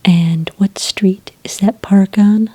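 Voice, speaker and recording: A woman playing a calm, polite agent who takes sighting reports in a soft-spoken ASMR roleplay, breathy and whispery, with gentle, patient questioning. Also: mid-range voice, takes it slowly. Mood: politely inquiring.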